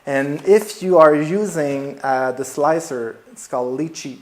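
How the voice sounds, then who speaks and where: A man from Quebec with a Québécois French accent, a native French speaker, talking as a guest in a casual product chat. He speaks haltingly, with many restarts and fillers. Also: a little high, slight background noise. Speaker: guest YouTuber. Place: 3D printing shop.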